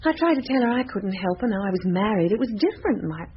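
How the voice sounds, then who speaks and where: A woman's voice: medium pitch, expressive, quick pace, close to an everyday voice. Woman playing a haughty, swooping young madame. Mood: scornful. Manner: haughty, theatrical, disdainful. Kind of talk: radio drama acting. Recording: dull, slightly noisy radio drama recording.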